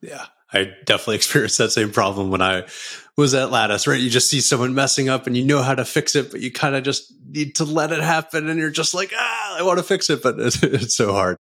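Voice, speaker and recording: A man's voice: medium pitch, fairly quick, a bit bright. A man hosting a podcast interview in a casual, chatty style.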